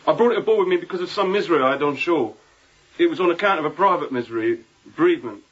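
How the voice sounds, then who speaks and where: High-voiced, husky, contrite sailor. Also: male voice, lively intonation, racing, dull audio. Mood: pleading, contrite. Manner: earnest rambling working-sailor speech, deferential. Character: contrite, frightened sailor pleading after a drunken night. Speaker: ship's crewman. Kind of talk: radio drama acting.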